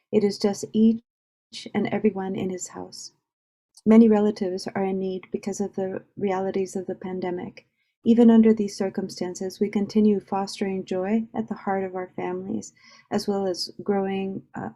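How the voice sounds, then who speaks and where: A woman's voice: mid-range voice, warm tone. A woman reading a report aloud as part of a group reading over a video call.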